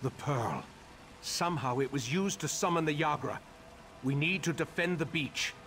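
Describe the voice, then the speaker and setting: A man playing a grave, scholarly leader of a mage order in a video game, speaking in a measured, formal way. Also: on the high side, a bit bright, slight background noise. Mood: urgent. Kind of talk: scripted video game character dialogue. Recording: long echoing hall reverb.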